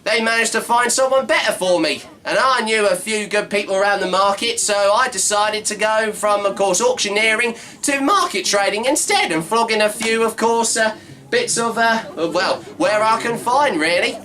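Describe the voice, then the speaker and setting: A man's voice: high voice, normal speed, pushed and bright. A man playing a brash male Cockney market trader with pushy sales patter who keeps saying "of course". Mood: chummy. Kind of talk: comedy sketch character monologue.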